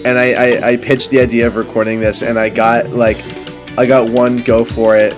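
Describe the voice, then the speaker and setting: A man's voice: mid-range voice, flat delivery, moderate pace, over music. A young man hosting a gaming video, rambling and joking with casual profanity among friends. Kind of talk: casual game commentary with friends.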